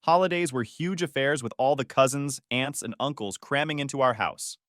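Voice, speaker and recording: A man's voice: mid-range voice, normal speed, a bit bright, no echo. Man narrating a reddit story, dry.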